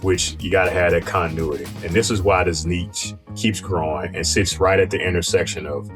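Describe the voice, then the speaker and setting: A man's voice: low voice, steady tone, over music. A man co-hosting a podcast with conversational business commentary, restarting phrases and often checking in with a tagged question. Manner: halting, repeats and restarts phrases, often tags "right?". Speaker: podcast co-host.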